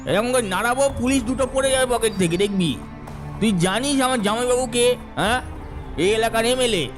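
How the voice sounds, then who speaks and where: Man playing high-voiced arrogant bullying landowner. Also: fairly quick, music in the background. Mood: mocking, boastful. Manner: taunting, boastful. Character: arrogant bullying male landowner with political connections. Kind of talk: cartoon story dialogue.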